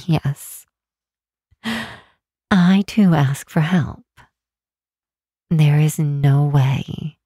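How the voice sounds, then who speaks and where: A woman voicing a kind, protective demon queen in an ASMR comfort roleplay, speaking gently and reassuringly in a measured way, close to the microphone. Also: deep voice, breathy edge, no room sound, exaggerated voice. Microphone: close, dry microphone.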